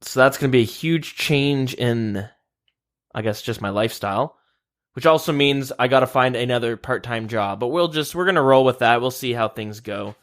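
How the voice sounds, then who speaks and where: A young man hosting a podcast in a relaxed way, leading the talk and reading out news. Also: mid-range voice, moderate pace. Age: young adult. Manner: steady, runs the show and leads topics. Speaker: podcast host. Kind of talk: casual chat, reading news. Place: shared room, two mics.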